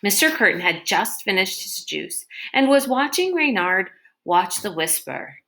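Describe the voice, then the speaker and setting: Woman reading kids book out loud, slow pace, expressive storyteller, mid pitch. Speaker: read-aloud reader. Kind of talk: expressive children's book narration.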